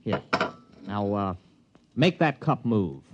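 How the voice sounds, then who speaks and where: An educated, pushy American skeptic in a 1950s radio drama. His pitch swings widely and his delivery is insistent, with stammers along the way. The vintage recording is very dry and a bit dull. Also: very animated, slow, no room sound, exaggerated voice. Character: excitable, scheming educated man, pushy and skeptical researcher.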